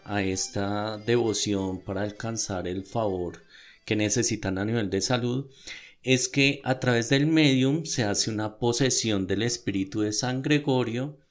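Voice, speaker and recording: A man with a Bogotá Colombian accent telling stories and explaining in a conversational, slightly hesitant way on a podcast. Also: medium pitch. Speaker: podcast panelist. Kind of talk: conversational storytelling. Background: music.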